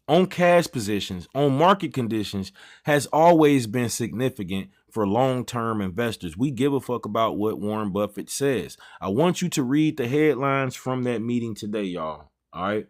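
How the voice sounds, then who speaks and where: A man's voice: medium pitch, animated, normal speed. Man in his thirties, livestream host, casual slangy chat, rambling asides. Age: 30s. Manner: loose slangy banter, easily sidetracked. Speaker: trading stream host.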